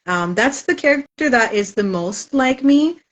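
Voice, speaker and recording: A woman's voice: fairly high pitch, animated, a little slow. A woman author whose first language is Spanish, chatting thoughtfully in a casual interview with frequent "um" fillers.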